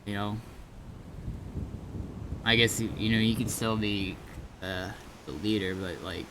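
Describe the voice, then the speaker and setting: A man's voice: lowish voice, steady tone, measured pace. A man who streams games, talking casually through roleplay in a hesitant, rambling way and repeating himself. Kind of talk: casual gameplay talk and improvised roleplay. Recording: slightly noisy home gaming recording.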